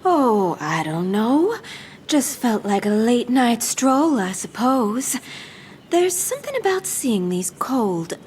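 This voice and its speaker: A woman's voice: fairly high pitch, sing-song, takes it slowly, darkish tone, a little hiss or hum. An actress playing a glamorous, self-assured female gang leader with a playful, mocking, flirtatious drawl. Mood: coy. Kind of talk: dramatic audio-drama acting.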